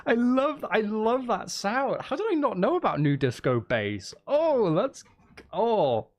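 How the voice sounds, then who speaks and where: A man chatting casually on a livestream as he makes music, excitable and apologetic, with exclamations and nonsense syllables for riffs. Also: measured pace. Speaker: streamer making music. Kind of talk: casual livestream chat while composing music. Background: bass guitar and music playing.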